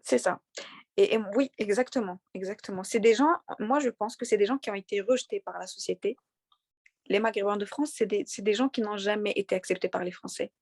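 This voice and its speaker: A young woman from Morocco with a light Moroccan accent, answering interview questions in an earnest, halting way with many fillers and restarts. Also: medium pitch, steady tone, darkish tone, no room sound. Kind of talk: conversational interview.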